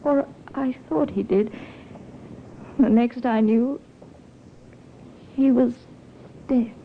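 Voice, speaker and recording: An actress playing a composed, scheming young widow in a 1950s radio drama, with halting, theatrical delivery. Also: female voice, on the high side. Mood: distressed, confessing. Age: young adult. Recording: tinny, hissy 1950s radio recording.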